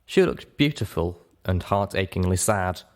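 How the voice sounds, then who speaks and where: Male story narrator, lowish pitch, very varied intonation, dramatic scripted reading. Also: expressive, unhurried. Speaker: audiobook narrator.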